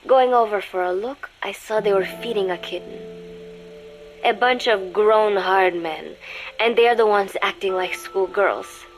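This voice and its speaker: Young female spy played by an actress: composed, disdainful diary monologue over music, medium pitch, game drama. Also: measured pace, natural voice, poor audio. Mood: cold, scornful. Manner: composed, contemptuous diary narration. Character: young female spy posing as schoolgirl, coldly scornful. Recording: music under the voice.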